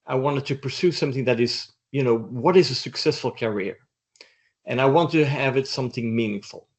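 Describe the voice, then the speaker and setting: A man's voice: mid-range voice, brisk. Man, middle-aged, from Belgium, interview guest, reflective, thoughtful. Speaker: interview guest, doctoral student. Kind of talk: casual interview chat.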